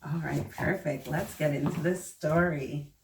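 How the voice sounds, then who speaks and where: A woman hosting children's story time, reading aloud and talking to young listeners in an expressive, encouraging way. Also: sits low, a little slow. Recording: echoey room, distant mic, faint noise.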